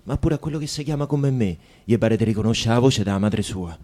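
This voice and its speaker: A man's voice: on the low side, expressive, brisk, mellow, lightly acted. An actor playing a sombre Roman prisoner who longs for his mother, in a radio play with a Roman accent. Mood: sombre, resigned. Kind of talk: radio theatre monologue.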